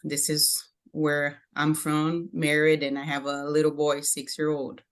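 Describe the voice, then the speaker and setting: A woman's voice: low-pitched, completely flat delivery, measured pace. A woman in her thirties with a Brazilian accent, originally a Portuguese speaker, answering interview questions as a guest with many hesitant fillers. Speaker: guest, animal nutrition director.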